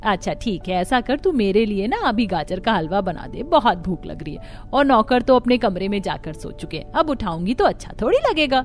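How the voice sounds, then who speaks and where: A woman's voice: mid-range voice, sing-song, moderate pace, few pauses, soft background music, close to an everyday voice. A woman voicing a rich, sly, demanding older mother-in-law in a cartoon story, bossy and falsely sweet. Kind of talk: Hindi cartoon story dialogue.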